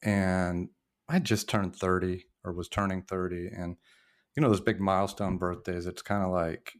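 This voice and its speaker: A man in his sixties with a very low, deep voice talks through his memories as a podcast guest. He is reflective and halting, often restarting his sentences as he tells his story. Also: fairly even intonation. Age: 60s. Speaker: podcast guest, former journalist. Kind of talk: casual storytelling interview.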